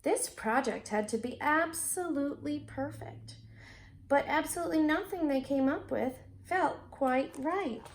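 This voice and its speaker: High-voiced, unhurried woman teacher reading aloud. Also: darkish tone. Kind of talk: read-aloud lesson for children.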